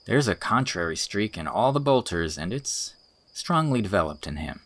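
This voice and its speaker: A man's voice: lowish voice, very animated, moderate pace, a bit bright, dry recording. A man playing an earnest, teasing young village schoolteacher in audiobook dialogue, articulate and enthusiastic. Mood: wry.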